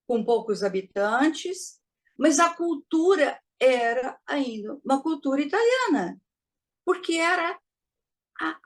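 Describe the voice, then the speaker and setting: A woman giving a teaching talk, measured and posing rhetorical questions to her listeners. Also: fairly high pitch. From Brazil. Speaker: spiritist lecturer. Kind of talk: didactic talk to an audience.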